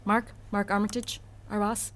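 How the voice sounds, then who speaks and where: An actress playing an eager, excitable office coworker in a satirical audio drama, gushing and emphatic, repeating herself. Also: female voice, mid-range voice, normal speed, close to an everyday voice. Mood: amazed, excited.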